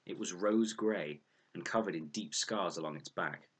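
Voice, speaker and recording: A man reading a children's adventure novel aloud as an expressive, scripted narration. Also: low voice, moderate pace, breathy edge. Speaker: audiobook reader.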